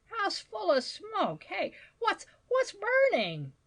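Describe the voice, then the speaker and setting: Woman playing a high, blustering, frantic gentleman orator. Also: huge pitch swings, takes it slowly, heavily acted. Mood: alarmed. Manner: pompous, frantic, repetitive exclamations. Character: excitable, blustering, gallant gentleman shouting orations. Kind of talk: dramatized storybook reading.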